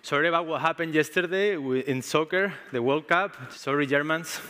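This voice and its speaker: Spanish-accented man in his 30s, conference speaker, bright tense voice, long hall reverb, relaxed and chatty with ums. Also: fairly high pitch, moderate pace, clean tone. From Spain. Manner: casual, frequent ums and restarts. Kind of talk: tech conference talk. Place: large conference stage.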